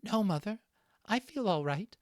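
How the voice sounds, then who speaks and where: A man's voice: high voice, lively intonation, moderate pace, a little mellow, no echo, clean studio sound, pitched above the performer's own voice. A man voicing a frail, sick young mouse boy who speaks weakly and politely in a storybook reading. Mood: weak, sickly. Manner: weak, polite, short phrases.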